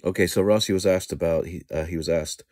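A middle-aged man giving casual video commentary from home into a phone held close, halting and full of ums and restarts. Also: deep voice, fairly even intonation, darkish tone, no room sound. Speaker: news blogger. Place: indoors at home, small room. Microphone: phone camera held close.

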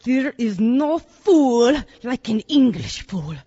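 Urgent disdainful wife in a radio drama, really high voice, swoops around a lot. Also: female voice, sing-song, mellow, breathy edge, heavily acted. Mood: urgent, contemptuous. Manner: urgent, scornful, drawn-out emphasis. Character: brusque, scornful foreign wife, urgent and disdainful. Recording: dull lo-fi radio recording.

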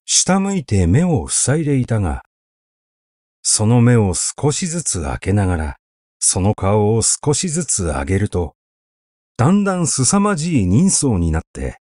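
A man reading a classic literary essay aloud as narrator, with measured, expressive storytelling delivery. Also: medium pitch, dry recording, studio quality. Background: background music.

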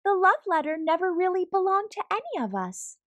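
A woman's voice: very high-pitched, big pitch swings, a little fast, fairly bright tone, pitched above the performer's own voice, exaggerated voice. A woman voicing an eager, indignant female bunny in a storybook, with emphatic delivery. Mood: earnest.

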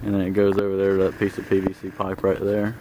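A man giving a relaxed, plain-spoken tour of his land, walking and then driving. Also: sits low, quick pace. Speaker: landowner showing his farm. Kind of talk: casual walk-and-drive tour narration. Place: outdoors in fields, then inside a moving pickup truck. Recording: clear background noise of truck engine and outdoors.

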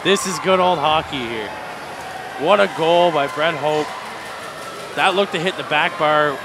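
Excitable high-voiced hockey colour commentator. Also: male voice, unhurried. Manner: enthusiastic analysis between plays. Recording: crowd and arena noise behind.

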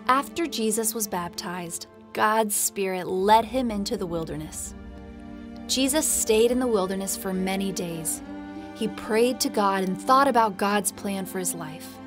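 A woman's voice: medium pitch, measured pace. A woman reading scripted narration for a children's Bible story in short, simple sentences. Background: music.

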